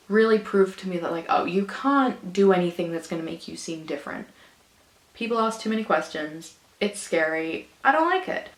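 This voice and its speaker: Rambling Australian woman, low voice. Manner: rambling, hesitant, self-conscious, many fillers. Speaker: vlogger. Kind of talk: reflective personal monologue. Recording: echoey room.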